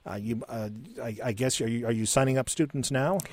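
A man's voice: mid-range voice, fairly quick, a little mellow, no echo. A man hosting a radio talk show who asks curious questions and stumbles a little over his words. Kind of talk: radio interview.

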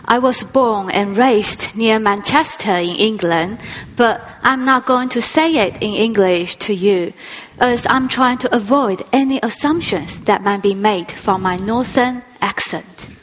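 A woman speaking as an onstage translator, giving a rehearsed talk in a measured way. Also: mid-range voice, a little hiss or hum, rough recording. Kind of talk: scripted stage talk.

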